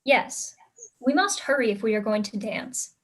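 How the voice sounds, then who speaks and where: Innocent, eager teenage girl for period stage drama, played by a woman; high pitch, guileless. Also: animated, a little slow, fairly bright tone. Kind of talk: staged play reading.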